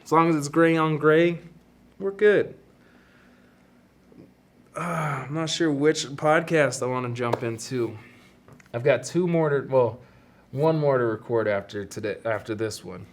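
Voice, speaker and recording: A man's voice: mid-range voice, measured pace, long pauses, slight background noise. A young man hosting his own podcast in casual solo chat, talking with a stuffy, congested nose in a rambling, self-deprecating way. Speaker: podcast host.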